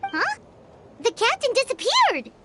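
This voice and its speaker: A woman voicing a small childlike companion creature in a video game, squeaky, excitable and anxious to keep the peace. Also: a little slow, background noise, heavily acted. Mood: surprised. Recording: music behind the voice.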